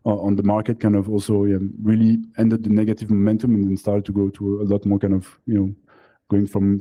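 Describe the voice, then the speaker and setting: Fast-talking man, very dark French accent. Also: lowish voice, rough recording. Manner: quick, restarts and fillers. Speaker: panelist, company co-founder. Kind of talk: panel discussion. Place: event stage.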